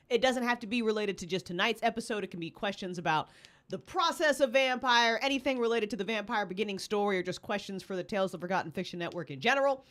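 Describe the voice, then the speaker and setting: Woman, high voice, casual livestream host, playful, rambling, freely swearing. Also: a bit bright. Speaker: livestream host, podcast co-founder, writer and director. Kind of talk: casual live chat. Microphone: streaming setup with webcam and headphones.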